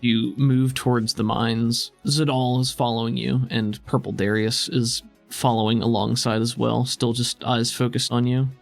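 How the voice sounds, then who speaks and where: A man's voice: mid-range voice, flat delivery, moderate pace, gravelly, few pauses. A man working as game master, describing scenes in a conversational, improvised way. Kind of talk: improvised tabletop game narration. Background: music underneath.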